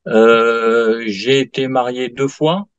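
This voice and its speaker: A man in his late fifties giving a halting testimonial in an interview, full of fillers and restarts. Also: medium pitch, steady tone, clear, resonant tone, dry recording. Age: 50s. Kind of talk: hesitant interview answers. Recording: dull lo-fi dry sound.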